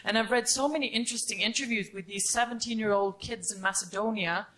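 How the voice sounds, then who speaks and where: A woman's voice: a little high, fast talker, a bit bright, rough recording. A woman in her twenties from Norway, a student audience member giving a candid, unscripted comment and question at a debate.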